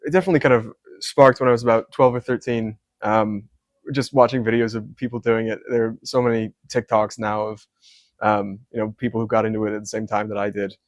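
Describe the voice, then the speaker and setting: Young man, Scottish-born hat maker; soft-spoken, flat and even, lots of ums, dry close sound. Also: lowish voice, fairly quick, dry recording. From Scotland. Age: young adult. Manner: soft-spoken, many ums, detailed explanations. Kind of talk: casual interview.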